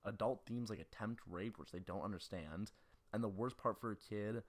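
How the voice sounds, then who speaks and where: A man's voice: on the low side, moderate pace. A man in his early twenties narrating a scripted film critique as a video essayist. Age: early 20s.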